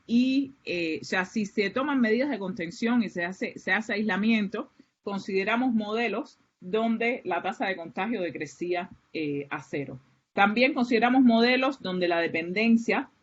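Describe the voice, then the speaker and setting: A woman's voice: medium pitch, unhurried. A woman from Cuba with a Cuban Spanish accent, giving an academic seminar with many fillers and restarts. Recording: muffled, lo-fi online lecture audio.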